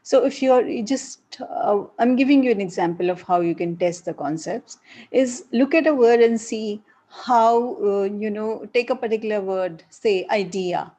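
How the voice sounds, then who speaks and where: Breathy-voiced Indian woman professor. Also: medium pitch, normal speed, mellow. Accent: Indian English. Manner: explanatory, repeats points with hesitations. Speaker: professor answering student questions. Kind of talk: live online Q&A session.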